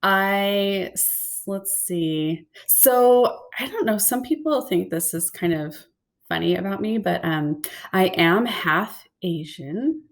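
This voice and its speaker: A woman's voice: mid-range voice, animated, slow. A woman in her forties, raised in San Diego, chatting as a podcast guest, friendly and a little hesitant with many ums. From born in Hawaii, raised in San Diego. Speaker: guest, business owner. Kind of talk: casual interview chat.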